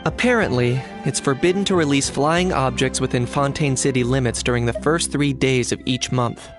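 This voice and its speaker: An actor voicing a calm young man who speaks in a dry, casual, offhand way, in video game dialogue. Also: medium pitch, normal speed, music in the background, lightly acted.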